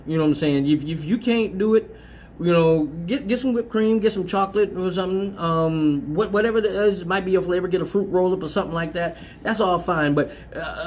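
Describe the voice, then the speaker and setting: A man's voice: high voice, slight background noise. A man hosting a live advice show, talking bluntly and profanely, laughing often and repeating himself as he goes. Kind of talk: crude casual advice talk.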